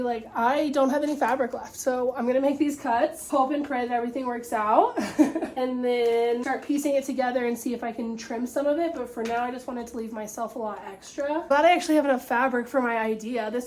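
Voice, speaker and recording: A woman's voice: fairly high pitch, a little mellow, a little hiss or hum. A woman in her twenties who is losing her voice after being sick, chatting upbeat and self-deprecating to camera from her home as a sewing vlogger. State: losing her voice, recovering from illness. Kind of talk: casual chat to camera. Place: home kitchen and living room.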